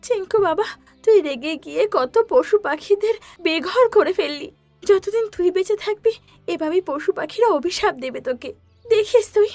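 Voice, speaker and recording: Cartoon mother parrot woman performer: shrill-high, animated, scolding and distressed. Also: normal speed, no echo, faint music behind. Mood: reproachful. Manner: reproachful, pleading.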